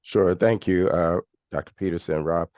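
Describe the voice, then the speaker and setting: Middle aged guy, super deep voice, dry close sound, earnest little opening talk. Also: fairly even intonation, moderate pace, darkish tone, dry recording. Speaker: pastor and New Testament professor. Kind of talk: brief opening remarks.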